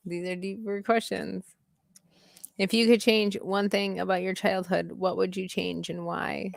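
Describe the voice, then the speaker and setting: A woman's voice: medium pitch, level tone, a little slow, creaky, no echo, clean studio sound. A woman hosting a casual chat, reading out party questions and thinking them over aloud with hums and giggles, over a video call. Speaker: host.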